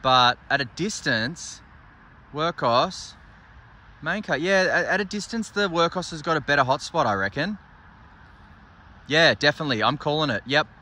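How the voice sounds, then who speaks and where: A man's voice: on the high side, animated, normal speed, long pauses, sharp tone. A man, in his thirties, casually reviewing flashlights and thinking aloud with enthusiasm. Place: workshop desk indoors, then outdoors at night.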